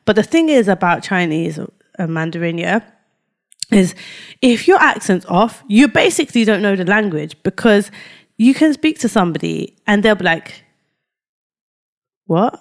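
A woman's voice: mid-range voice, very animated, moderate pace, pauses often. A woman co-hosting a podcast in casual banter, using slang like a habit and explaining things with examples and asides. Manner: slangy, says fam and yeah often. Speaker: podcast co-host.

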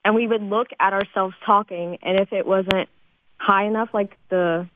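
A woman's voice: mid-range voice, fairly even intonation, moderate pace, slight background noise. Teenage girl on a tinny phone-like line. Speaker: student cast member being interviewed. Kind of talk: casual explanation in interview.

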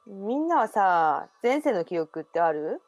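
A woman's voice: medium pitch, very animated, unhurried, a little mellow, no echo, faint music behind. A woman performing in an amateur radio drama as a young woman who confides memories of a past life. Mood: mysterious.

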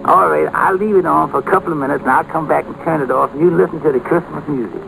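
Creaky, high-voiced gentle radio father. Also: fast talker, noisy background. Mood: tender, soothing. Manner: tender, patiently explaining. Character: gentle, devout, loving father at bedtime. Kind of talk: 1940s radio drama acting. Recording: old radio recording with loud music behind. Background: loud music.

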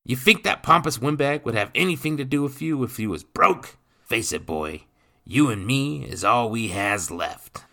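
A man voicing a menacing criminal stepfather who talks in gruff, ungrammatical street slang. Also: mid-range voice, animated, normal speed, bright, forceful tone, voice pushed up. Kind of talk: audiobook character voice.

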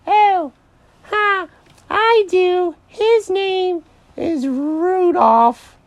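A storyteller voicing a chirpy, oblivious mother bunny rabbit with a squeaky, piercing, sugary voice. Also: drawn-out words, dry recording, exaggerated voice. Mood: breezy, dismissive.

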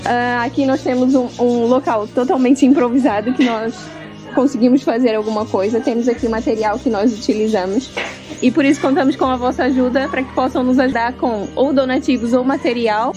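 Brazilian woman with a Portugal-Portuguese accent. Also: high-pitched. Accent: European Portuguese, picked up abroad. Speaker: missionary. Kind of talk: recorded video appeal.

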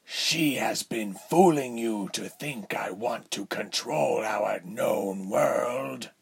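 A man voicing a condescending villain lord in a fantasy story, measured and smooth in manner with a breathy, husky tone. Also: medium pitch, voice pushed up, exaggerated voice. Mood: coolly scornful. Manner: measured, silky, haughty.

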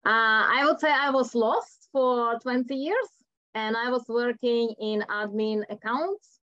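A woman's voice: on the high side, unhurried, fairly bright tone, no room sound. A middle-aged woman with a Czech accent, a coach talking earnestly as a podcast guest and sometimes searching for words. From Czech Republic. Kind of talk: podcast interview. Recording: dull lo-fi audio.

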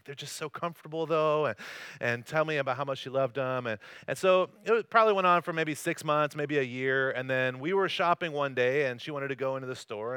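A man's voice: a little high, bright, forceful tone, clear, resonant tone, dry recording. A man from North Carolina, probably in his thirties, preaching a conversational sermon as a campus pastor, mixing jokes and self-deprecating stories. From North Carolina, USA. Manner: joking, self-deprecating, loose storytelling. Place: church service.

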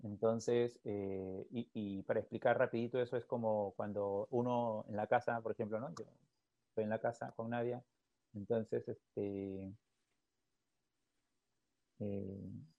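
Brief: male psychologist, mid-pitched, mellow and reflective, slightly dull recording. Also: no echo. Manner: calm and reflective, often ends with a questioning tag. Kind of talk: explanatory podcast talk. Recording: dull, lo-fi, dry sound.

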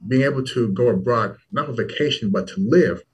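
A man's voice: mid-range voice, a little fast, breathy edge, recorded from a distance. A man from Toronto, Louisville and Washington DC, talking casually as an interview guest and repeating words for emphasis. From Toronto, then Louisville, Kentucky and Washington DC. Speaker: startup founder as guest.

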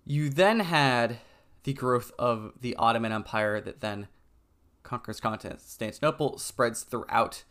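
Guy doing a solo podcast, clear smooth voice, lots of ums, easygoing. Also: medium pitch, unhurried, a bit bright. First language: English. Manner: conversational, frequent ums, stumbles over foreign names. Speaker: podcast host. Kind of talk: solo educational podcast talk.

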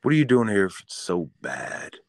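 Deep-voiced, fired-up male podcaster. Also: sing-song, moderate pace, no echo, studio quality. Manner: fired-up, ranting, profane. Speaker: podcast co-host. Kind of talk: casual podcast chat.

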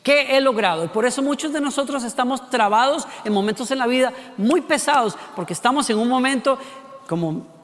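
A Costa Rican man with a high voice preaches a sermon. His delivery is animated, full of rhetorical questions and little acted-out voices. A long hall reverb trails after each phrase. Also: fairly quick. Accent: Costa Rican Spanish. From Costa Rica.

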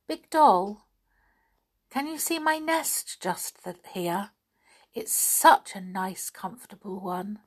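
A woman voicing a kind, gentle female thrush who talks in a sing-song and repeats her words. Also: medium pitch, lively intonation, takes it slowly.

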